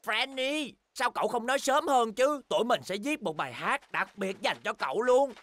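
A man's voice: extremely high pitch, animated, moderate pace, fairly bright tone. A man voicing a cheerful, boastful young bear in a language children's cartoon dub, exclaiming with enthusiasm. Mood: eager.

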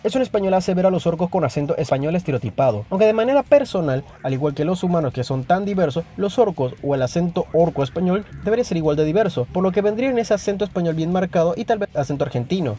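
A man narrates a lore explainer in a casual, scripted voice that sits somewhat high for a man. He talks straight to the viewer, like someone explaining to a friend. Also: mellow, slight background noise. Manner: informal explanatory, addresses viewer directly.

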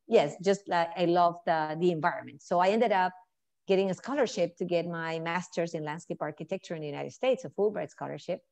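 A woman's voice: lowish voice, brisk, vocal fry, a little mellow. A woman in her fifties with a Colombian accent, a conservationist guest telling her story earnestly over a video call with earbuds. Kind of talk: casual interview. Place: indoor home room. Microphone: earbud mic on video call.

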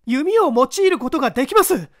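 A man's voice: extremely high pitch, sing-song, fairly quick, a bit bright, no room sound, clean studio sound, voice pushed up, full character voice. A man voicing an earnest unemployed young man who argues his case with eager intensity in a mystery reading. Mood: excited.

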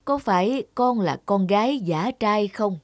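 A woman voicing a kind, fatherly old teacher in a cartoon, speaking gently. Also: mid-range voice, lively intonation, measured pace, no echo, studio quality, close to an everyday voice. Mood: gentle.

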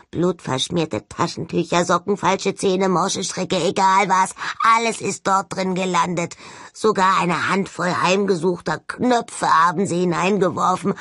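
A woman's voice: fairly low pitch, fairly bright tone, no room sound, studio quality, full character voice. Woman voicing a sullen, sardonic male skull ghost. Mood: sullen, offended. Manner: grumbling, mocking, indignant. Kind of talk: audiobook character voice.

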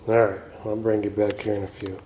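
Laid-back low-voiced man mumbling through a repair. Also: brisk, slight background noise, poor audio. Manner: mumbly, relaxed, trailing off with fillers. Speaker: channel host. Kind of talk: casual hands-on repair vlog. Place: garage, working on a floor bench.

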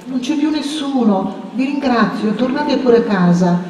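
A woman voicing a timid old village priest who slowly and evasively tries to send a crowd home, recorded in a roomy space away from the mic. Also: fairly high pitch, animated, warm tone, a little hiss or hum. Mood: placating. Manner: slow, placating and evasive. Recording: echoey long reverb from far off.

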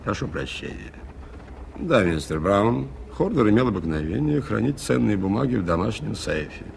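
An actor playing an earnest, skeptical aviator in a radio play, with a gravelly voice and a measured, formal, dryly ironic manner. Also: male voice, on the low side, very animated, exaggerated voice. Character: earnest, skeptical gentleman aviator recounting a mystery. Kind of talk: Soviet radio play acting. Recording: faintly noisy 1980s radio recording.

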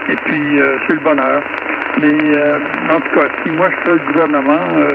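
A man's voice: fairly high pitch, little pitch movement, normal speed, creaky. Québécois man over very noisy radio. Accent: Québécois French. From Quebec, Canada. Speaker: amateur radio operator. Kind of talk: opinionated radio chat. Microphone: received over shortwave radio speaker. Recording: narrow-band radio link, loud static noise.

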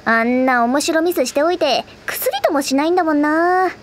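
A woman's voice: high-pitched, fairly quick, slight background noise, natural voice. A woman playing a cheeky, brash teenage café girl who talks in slangy bursts in an anime voice drama. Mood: cheeky, amazed. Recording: studio voice over loud music.

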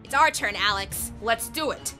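Girl doing determined kid hero in comic dub, high lively voice, music super loud. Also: normal speed, lightly acted. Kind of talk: dramatic comic dub acting.

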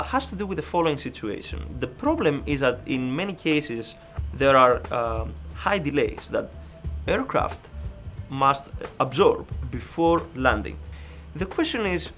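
A man in his thirties answers questions with frequent uhs and self-corrections, his pitch sitting in the middle range. He was recorded indoors in a lab lined with computer screens, and soft music plays quietly under his voice. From Greece. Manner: hesitant, many filler uhs and restarts. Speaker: research scientist. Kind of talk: interview answers.

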